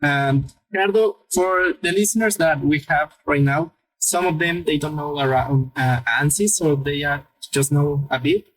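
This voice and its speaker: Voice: medium pitch, moderate pace, hardly any pauses, low-quality recording. A podcast host asking guests questions, with hesitant phrasing. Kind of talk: interviewer questions.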